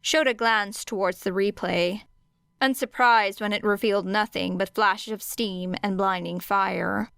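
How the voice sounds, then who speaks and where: Slow, clear female audiobook narrator. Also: mid-range voice, steady tone, a bit bright, no echo, studio quality. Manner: measured, deliberate pauses. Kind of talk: scripted fiction narration.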